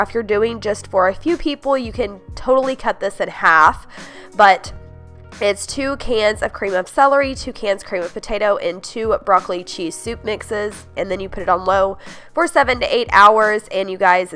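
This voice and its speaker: A woman's voice: mid-range voice, moderate pace, fairly bright tone, faint music behind. Stuffed-up woman gushing about recipes. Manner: upbeat, gushing, many "like"s and "you guys". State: congested, stuffed-up voice. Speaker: home cooking vlogger. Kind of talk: casual chatty recipe voice-over.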